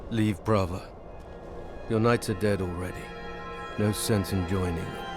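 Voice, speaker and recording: An actor playing a blunt, driven wandering hero in a game cinematic, speaking in short, confrontational lines. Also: male voice, low voice, fairly even intonation, a little slow. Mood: stern. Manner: terse, confrontational. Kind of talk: video game cinematic acting. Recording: loud music behind the voice.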